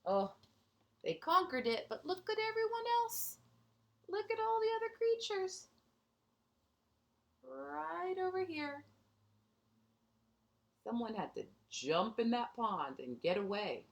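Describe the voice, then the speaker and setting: A woman reads a picture book to kindergarten children at a slow, measured pace. Her pitch moves up and down in a lively way. She is expressive, stepping out of the text to point out small details and put questions to the young listeners. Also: creaky. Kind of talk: storybook read-aloud with asides to young children.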